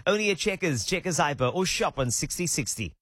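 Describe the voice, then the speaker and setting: A man with a South African accent, a radio presenter reading an energetic, punchy promo. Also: a little high, fairly quick, background noise. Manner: energetic, punchy sales delivery. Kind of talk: upbeat radio promo read. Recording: music bed behind.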